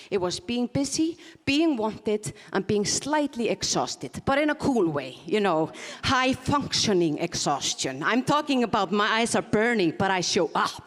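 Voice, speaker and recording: A woman's voice: medium pitch, in a large hall. A woman from Iceland with a mixed Icelandic, Scottish and English accent, doing self-mocking stand-up comedy on a conference stage and laughing at her own jokes. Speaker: stand-up performer.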